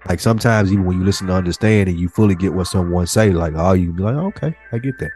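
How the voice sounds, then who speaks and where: A man's voice: low voice, quick pace, darkish tone, faint music behind. A man co-hosting a podcast in casual, slangy conversation, telling stories and quoting people as he goes. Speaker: podcast co-host.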